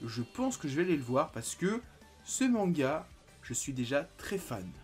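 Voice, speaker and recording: A man in his late twenties reviewing a manga in a casual video, talking animatedly and breaking into excited exclamations. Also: fairly high pitch, normal speed, faint music behind. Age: 20s.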